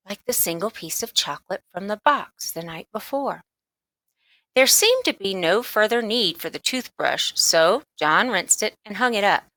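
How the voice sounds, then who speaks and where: A woman reading a children's book aloud as scripted narration, in an expressive, emphatic way. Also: medium pitch, no echo, rough recording. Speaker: storybook reader.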